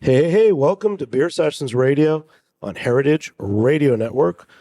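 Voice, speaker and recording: A man's voice: fairly high pitch, animated, normal speed, over music. A man hosting a radio show on site, with an upbeat and enthusiastic way of talking.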